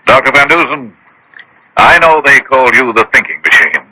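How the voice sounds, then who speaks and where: Rich gullible old man, radio mystery, rough husky voice, sharp edge, rueful n proper. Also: mid-range voice, big pitch swings, normal speed, dry recording, exaggerated voice, poor audio. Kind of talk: radio mystery drama acting.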